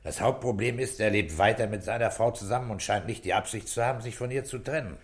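Actor as a low-voiced, brooding police inspector. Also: male voice, lightly acted. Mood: pensive, troubled. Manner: terse, commanding, deliberate. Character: brooding, dutiful male police inspector, gruff but caring. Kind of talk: 1960s German radio play acting.

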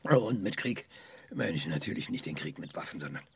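An actor playing a proud, combative old science professor in a German radio drama, speaking insistently in halting, declamatory bursts. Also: male voice, extremely low pitch, animated, gravelly, husky, exaggerated voice. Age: older.

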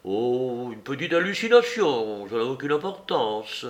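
A man's voice: medium pitch, big pitch swings, unhurried. A man reading aloud and voicing a young male clerk who speaks in a dry, offhand, dismissive way. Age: young adult.

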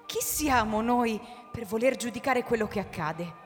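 A woman's voice: a little high, normal speed, natural voice. An actress playing a kindly, pious, matter-of-fact old grandmother in a radio drama, with emphatic delivery. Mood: firm, philosophical. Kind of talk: live radio drama acting. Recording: reverberant hall, faint music behind.

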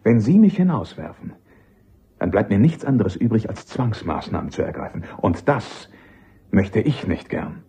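An actor playing a courteous but persistent army captain and defence lawyer in a German radio drama, speaking formally. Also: male voice, lowish voice, big pitch swings, moderate pace, vocal fry, warm tone, husky, exaggerated voice. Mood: firm, insistent. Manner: courteous, formal, deferential yet insistent.

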